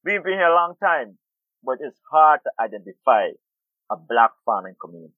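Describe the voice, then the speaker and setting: A man's voice: medium pitch, big pitch swings, a little fast, dry recording. Middle-aged guy from guyana, thoughtful, repeats himself a lot, dry tinny phone sound. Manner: thoughtful, repeats words while searching. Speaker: urban farmer and food activist. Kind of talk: interview guest.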